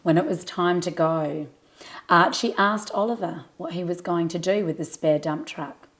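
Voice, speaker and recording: Woman, slightly low pitch, storyteller, scripted children's narration, expressive, addressing young listeners. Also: moderate pace.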